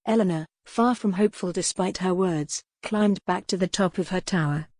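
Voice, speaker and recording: A woman's voice: on the low side, moderate pace, darkish tone, dry recording. A woman reading a scripted historical story as an audiobook narrator.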